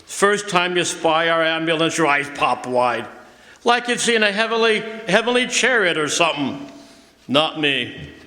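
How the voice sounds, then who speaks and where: Brash male ambulance driver, played by an actor: cynical wisecracker, swooping pitch, radio play in an echoey hall. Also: big pitch swings, a little slow, pushed and bright, full character voice. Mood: brash, mocking. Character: gruff, cynical veteran ambulance driver, male, slangy and impatient. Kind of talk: radio drama acting. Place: live stage performance in a hall. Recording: roomy echoey live hall, noisy, clicks.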